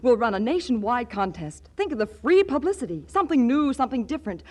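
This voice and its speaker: Casting: a sharp, wisecracking publicity gal, slightly high voice, animated, vintage radio comedy. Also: female voice, quick pace. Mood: scheming, eager. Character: shrewd, brisk woman publicity schemer. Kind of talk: 1940s radio comedy acting.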